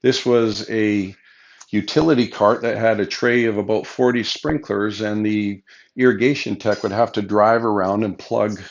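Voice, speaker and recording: A man in his sixties, hoarse from a cold, recalling his years as a golf course superintendent in an unhurried way, with many fillers and restarts. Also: fairly low pitch, steady tone. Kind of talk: casual spoken recollection to camera. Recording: dull lo-fi sound.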